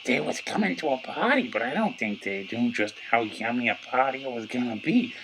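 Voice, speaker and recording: A man's voice: mid-range voice, lively intonation, fairly quick, background noise, lightly acted. A man playing a gleeful, folksy river cannibal henchman with a drawl and a taunting, cackling manner, craving moonshine in an audio drama. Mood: gleeful menace.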